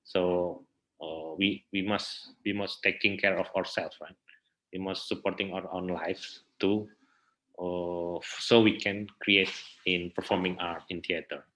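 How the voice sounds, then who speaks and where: A man with a fairly low voice and an Indonesian accent speaks at a very slow pace. A native Indonesian speaker, he answers casually as an interview guest, pausing often to find his words. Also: fairly even intonation, bright, forceful tone, rough recording. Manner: hesitant, searching for words in non-native English. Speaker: theater practitioner interview guest.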